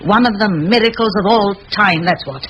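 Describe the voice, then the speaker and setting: An actress playing a determined, bossy middle-aged spinster schoolteacher in an old radio play, forthright and given to rhetoric. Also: female voice, mid-range voice, normal speed, a bit bright, highs cut off, a little hiss or hum, lightly acted. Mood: indignant, impassioned.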